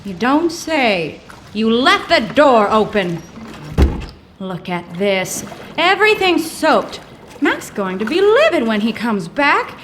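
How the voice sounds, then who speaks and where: Wisecracking small-town woman, acted, high, bright voice, blunt and sceptical, old-style comic audio drama, ambient noise behind. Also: big pitch swings, slow, heavily acted. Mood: sarcastic. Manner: sassy, blunt, folksy.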